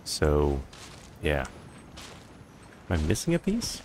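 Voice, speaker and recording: A man's voice: extremely low pitch, huge pitch swings, slow, darkish tone, a little hiss or hum. A man giving casual let's-play commentary as a streamer, offhand and rambling to himself. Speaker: gameplay streamer.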